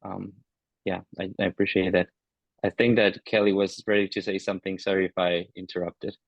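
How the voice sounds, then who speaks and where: Male moderator, very deep and monotone, gentle and hesitant; dry recording. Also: fairly quick, vocal fry, no room sound, highs cut off. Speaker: panel moderator. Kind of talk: moderating a panel.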